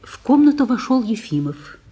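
A woman's voice: fairly high pitch, big pitch swings, moderate pace, warm tone. A woman narrator giving scripted narration of a play's stage directions.

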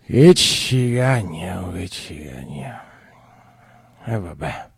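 An actor playing a wry, grumbling working-class coffee shopkeeper in a theatrical monologue, speaking colloquially with a Roman accent. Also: male voice, sits low, animated, takes it slowly, creaky, breathy edge, no room sound, full character voice. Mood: grumbling, weary. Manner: colloquial, muttering to himself, exclaiming.

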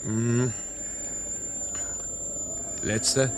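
An actor playing a young pilot in peril in an old radio drama, with halting, repeated exclamations. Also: male voice, mid-range voice, little pitch movement, darkish tone. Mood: subdued. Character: young pilot in peril, euphoric then desperate. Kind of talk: 1950s German radio play acting. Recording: noisy vintage radio, engine drone.

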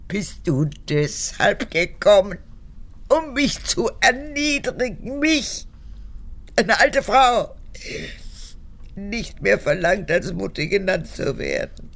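A woman's voice: big pitch swings, takes it slowly, full character voice. An actress playing a capricious, manipulative old woman, an unrepentant former camp guard, in a radio drama, with theatrical swings between whispers and outbursts. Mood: wounded, indignant. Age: older. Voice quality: husky, gravelly, low.

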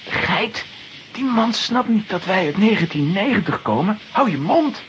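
Woman doing a bossy lively kid girl in an audio play, animated, muffled and a bit noisy. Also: medium pitch, measured pace, lightly acted. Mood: scolding. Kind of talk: children's audio play acting. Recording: dull, noisy old audio play recording.

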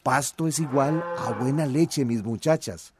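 A man's voice: mid-range voice, unhurried, natural voice. A man playing an affectionate, weary farmer in a radio drama, doting and grumbling as he talks. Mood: chatty. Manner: doting, grumbling, sighing to himself. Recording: clearly noisy farm soundscape with cattle.

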